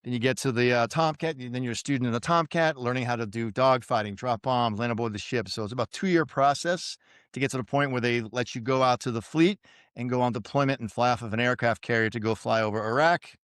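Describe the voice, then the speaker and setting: A man's voice: medium pitch, fast talker, fairly bright tone, clean tone, dry recording, clean studio sound. A man in his fifties with a Boston accent, a retired Navy pilot telling his story as a podcast guest in an easygoing, self-deprecating way with many fillers. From suburban Boston, USA. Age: 50s. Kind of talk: casual interview storytelling.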